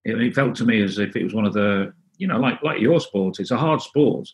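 A middle-aged man from North Wales, Welsh as his first language, answering interview questions in a halting, casual way with many restarts. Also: on the low side, animated. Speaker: interview guest.

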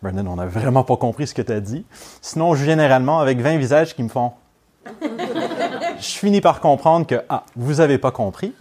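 A man's voice: medium pitch, sing-song, normal speed, noisy background. A young man from Montreal with a Québécois French accent and English as his first language, opening a training course in a chatty, informal way and checking in with his class. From Montreal, Quebec, Canada. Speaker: neurofeedback trainer.

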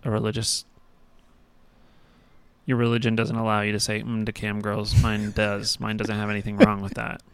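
A man's voice: lowish voice, completely flat delivery, moderate pace, long pauses, clean tone, no room sound. A young man co-hosting a podcast in casual chat, rambling with many restarts and crude jokes, talking into a mic with headphones on. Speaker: podcast co-host.

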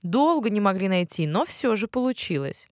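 A woman narrating a children's bedtime fairy tale as an expressive storyteller. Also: medium pitch, moderate pace, dry recording, clean studio sound.

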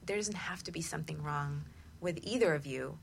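A woman with a slightly low voice and a lot of creak speaks as a talk show host. She explains her points conversationally and with emphasis. The recording carries some background noise. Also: brisk. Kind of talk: conversational advice discussion.

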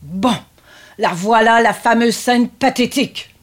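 A woman's voice: a little high, unhurried. A woman playing a needy, sardonic grown son devoted to his mother, in a dramatic script reading. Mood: exasperated, mocking.

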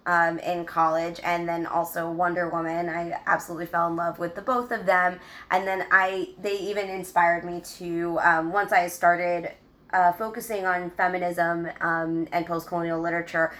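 Halting, um-filled woman in her thirties. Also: lowish voice, a bit bright. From United States. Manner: halting, many ums and restarts. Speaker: guest. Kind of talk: casual interview talk.

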